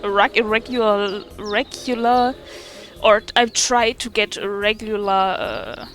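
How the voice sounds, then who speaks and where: A woman from Germany with a German accent, chatting casually over gameplay, haltingly searching for her words in a self-deprecating way. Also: mid-range voice, steady tone, a bit bright. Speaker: gaming video creator and streamer. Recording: faint game music behind.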